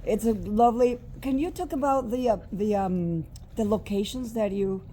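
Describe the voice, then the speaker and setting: A woman's voice: mid-range voice, normal speed, dark tone, slight background noise. A woman in the audience asking a question at a festival Q&A, hesitant and restarting often.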